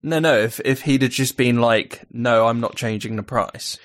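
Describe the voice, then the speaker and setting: A man's voice: medium pitch, moderate pace, fairly bright tone. A man co-hosting a casual comedy podcast, mostly answering with short replies and teasing his co-host. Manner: short responsive back-channel, teasing.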